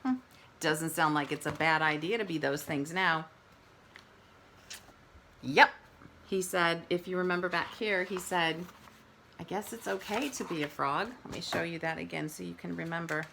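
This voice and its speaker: A woman kindergarten teacher reading aloud, pausing to explain and ask questions of young children. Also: fairly low pitch, steady tone, vocal fry, sharp tone, a little hiss or hum.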